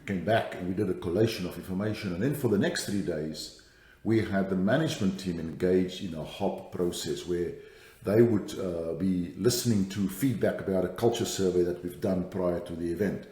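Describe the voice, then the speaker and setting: Deep-voiced South African man, echoey. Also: normal speed, mellow. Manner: thoughtful, many fillers and restarts. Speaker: guest, safety strategist. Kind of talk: podcast interview.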